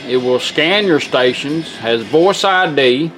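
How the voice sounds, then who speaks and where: A plainspoken man with a slightly high voice walks through a product demonstration. He talks informally and folksily, with faint music behind him. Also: expressive, slow, fairly bright tone, low-quality recording. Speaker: presenter demonstrating a restored car radio.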